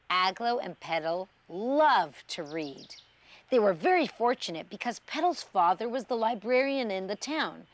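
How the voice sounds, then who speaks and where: A woman host reading a children's storybook aloud in an expressive, animated way, stretching key words for effect. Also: mid-range voice.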